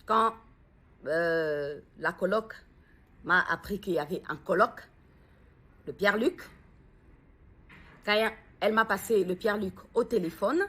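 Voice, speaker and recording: Hesitant, halting woman giving a testimony. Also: medium pitch, sharp tone, slightly rough. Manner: hesitant, halting, searching for words; earnest, pressing questions. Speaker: bereaved mother speaking out. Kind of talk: personal video testimony.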